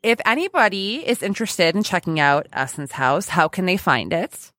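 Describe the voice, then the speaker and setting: A woman's voice: fairly low pitch, lively intonation, moderate pace, a bit bright, dry recording. Giggly lady podcast host chatting casually, really effusive, close dry sound. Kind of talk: casual interview chat.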